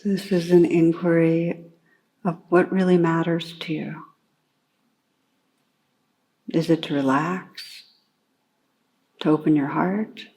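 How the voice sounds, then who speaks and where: Slow, low-voiced woman guiding meditation. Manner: calm, unhurried, gently instructing with long pauses. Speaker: meditation teacher. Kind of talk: guided meditation.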